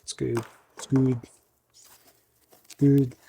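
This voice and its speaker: A man with a country American accent and a slight head cold, talking himself through a workshop project in a loose, thinking-aloud way. His natural voice is very deep, and he often corrects himself mid-sentence. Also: little pitch movement, slow, creaky, warm tone, a little hiss or hum. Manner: talks to himself while working, self-correcting. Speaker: host showing a woodworking project.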